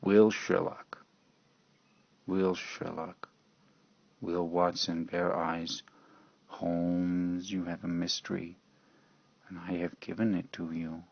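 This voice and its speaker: A man's voice: low-pitched, level tone, takes it slowly, creaky, pauses often, voice pushed down, full character voice. A man voicing a vengeful, menacing artificial intelligence in a radio drama monologue, declaiming grandly and repetitively in wounded rage. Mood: slow brooding menace. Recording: dull lo-fi audio.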